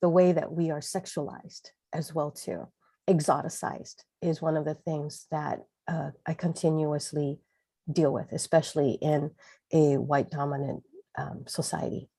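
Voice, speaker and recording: A woman's voice: low voice, flat delivery, unhurried, creaky, warm tone, breathy edge, no echo, studio quality. A woman from Hawaii with a Pidgin accent, speaking earnestly as a panelist in a community discussion. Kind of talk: panel discussion over video call.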